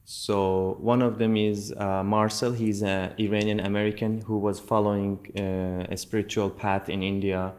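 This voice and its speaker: Low-voiced Persian-speaking man, halting. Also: level tone, a little mellow. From Iran. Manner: halting, searching for words, many fillers. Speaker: yoga teacher, podcast guest. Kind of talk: casual conversation.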